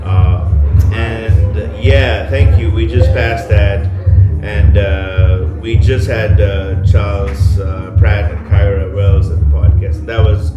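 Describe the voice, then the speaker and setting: Low-voiced man in an echoey room. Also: fairly even intonation, slow pace. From Sri Lanka. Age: 30s. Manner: reflective, many fillers. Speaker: podcast co-host and guest. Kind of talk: casual interview chat. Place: studio at a creative meetup. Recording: echoey, dull sound, loud music behind.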